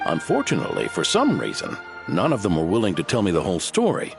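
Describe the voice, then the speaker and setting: An older knightly mentor from a fantasy game, pitched fairly low and swinging widely in melody. He is wry, folksy and shrewd, teasing while he fishes for the truth. Music plays clearly under the voice. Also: huge pitch swings, fast talker, heavily acted. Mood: probing suspicion. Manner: wry, probing, folksy speech. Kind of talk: video game voice acting.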